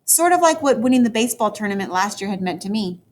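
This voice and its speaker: A woman teacher reading a children's story aloud, with expressive, dramatic emphasis for young listeners. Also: medium pitch, fast. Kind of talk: animated children's book read-aloud.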